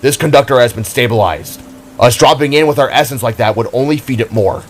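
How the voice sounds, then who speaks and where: A man's voice: medium pitch, brisk, a little hiss or hum, natural voice. A man voicing a stern, knowledgeable older brother, a glowing energy warrior in an audio drama, speaking in a grave, explanatory way. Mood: grave, explaining.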